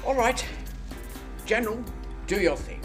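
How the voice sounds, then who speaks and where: An authoritative, exasperated female Prime Minister played by a woman in a radio drama. Her delivery is lively and swooping, with prominent music behind her. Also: on the high side, measured pace. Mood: curt, brisk.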